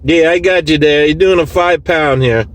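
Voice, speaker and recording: A man's voice: on the high side, normal speed. A man working as a CB radio operator, talking casually in clipped radio lingo from inside a vehicle. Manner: clipped radio lingo, repeats call sign. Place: inside a vehicle, mobile unit. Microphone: handheld phone recording in the vehicle. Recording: noisy phone recording of CB radio session. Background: clear radio and vehicle noise.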